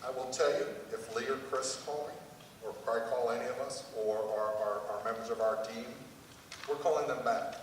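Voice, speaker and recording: A man's voice: mid-range voice, fairly even intonation, a little fast. An American man speaking conversationally as an admissions panelist, giving an informal answer. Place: large hall at a public panel event. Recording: echoey hall, distant mic, faint noise.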